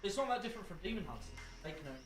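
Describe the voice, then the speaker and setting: Slightly high-voiced man chatting tentatively. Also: sing-song, racing, faint music behind. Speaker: co-commentator. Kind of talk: casual speculative chat.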